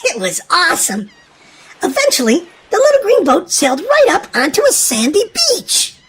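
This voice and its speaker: A woman's voice: very high-pitched, huge pitch swings, moderate pace, a little hiss or hum, low-quality recording. A woman narrating a children's storybook in a dramatic, emphatic scripted read-aloud style for young listeners.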